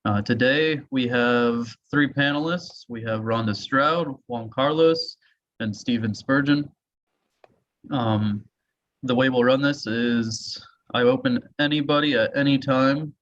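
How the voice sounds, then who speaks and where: A man's voice: medium pitch, slow pace, a bit bright. Man moderating, dull video-call sound. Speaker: host and moderator. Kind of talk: informal webinar moderating.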